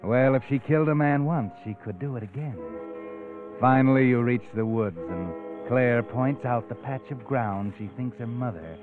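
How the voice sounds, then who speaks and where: A man's voice: mid-range voice, normal speed, close to an everyday voice. Male actor as insinuating suspense narrator, tinny old radio. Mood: hushed suspense. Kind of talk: old-time radio drama narration, second person. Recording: tinny vintage radio recording. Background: dramatic music.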